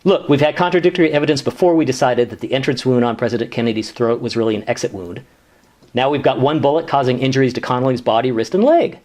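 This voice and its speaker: A man's voice: mid-range voice, quick pace, a little mellow, lightly acted. A man playing an earnest, flustered government commission staffer who protests and rationalizes in a sitcom radio play. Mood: insistent, confident. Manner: exasperated, protesting.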